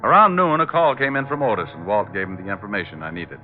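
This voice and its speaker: An actor playing a shrewd, cool private detective in vintage radio style, asking brisk, probing questions. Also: male voice, lowish voice, faint music behind, natural voice. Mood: confident. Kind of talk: 1940s-50s radio detective acting. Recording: muffled, narrow-band old radio recording.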